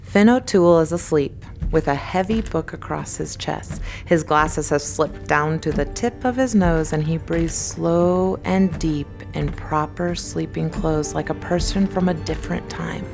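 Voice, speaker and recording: A woman's voice: low-pitched, a little slow, gravelly, few pauses, over music. A woman giving scripted dramatic narration as the narrator of a radio play.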